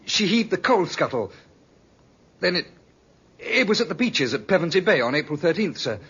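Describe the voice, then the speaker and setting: An actor playing an evasive, nervous male crook in a 1950s radio drama, halting and defensive. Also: fairly high pitch, sing-song, a bit bright, slightly rough, heavily acted. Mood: agitated confession. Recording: muffled 1950s radio recording.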